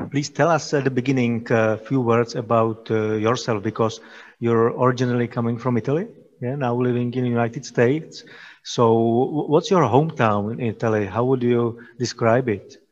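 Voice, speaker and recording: A man's voice: mid-range voice, moderate pace. A young man interviewing a guest over a headset, his midrange voice coming through muffled and lo-fi with a lingering reverb. He restarts his questions as he goes. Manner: hesitant, rephrases questions mid-sentence. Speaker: interviewer, masterclass moderator. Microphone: headset on a video call. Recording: dull, reverberant video call audio.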